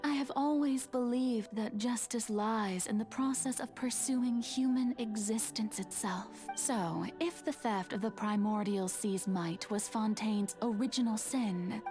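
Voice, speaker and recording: A woman playing a graceful, solemn goddess in a video game, with measured, theatrical and earnest delivery. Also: on the high side, a little mellow, soft background music, lightly acted. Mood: solemn, resolute. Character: graceful, solemn female god calmly facing her own execution. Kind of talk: scripted dramatic game voice acting.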